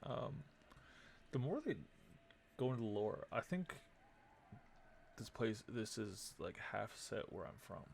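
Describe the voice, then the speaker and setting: A man's voice: on the low side, sing-song, takes it slowly, gravelly, long pauses, a little hiss or hum. A man giving casual live commentary on a game he is playing, muttering his moves aloud. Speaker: game streamer. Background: game music.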